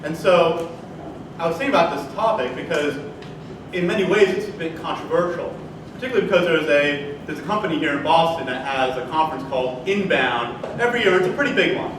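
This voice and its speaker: A man hosts a meetup on stage, pitching his voice slightly high and projecting it loudly in an upbeat way. He is recorded from far off in a big echoing event space. Steady noise sits under him. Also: a little fast. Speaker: event host and moderator. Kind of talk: moderating a meetup panel. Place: large open office event space with audience. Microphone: handheld mic heard from a distant camera. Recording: echoey room from far off with noise.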